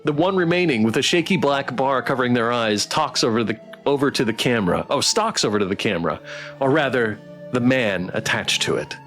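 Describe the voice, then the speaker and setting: A man streaming a visual novel, reading it aloud dramatically and adding playful, expressive commentary. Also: mid-range voice, a little fast, soft background music.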